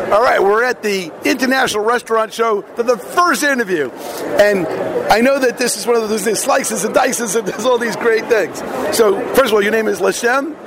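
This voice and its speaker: A man hosting a radio show, chatting upbeat with quick quips while interviewing exhibitors at a trade show. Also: high voice. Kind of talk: casual interview. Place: busy trade show floor. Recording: noisy convention hall.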